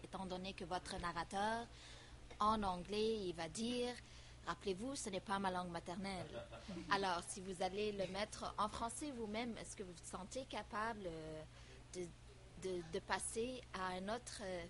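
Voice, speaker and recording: A woman's voice: mid-range voice, background noise. A woman in the audience puts a long, winding question to the speaker. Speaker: audience member asking a question. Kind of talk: question from the floor.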